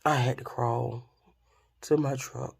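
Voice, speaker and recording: A woman's voice: rumbling low, slow. A woman, casually telling a story to her viewers. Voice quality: raspy voice. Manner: chatty, expressive, addresses viewers directly. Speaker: vlogger.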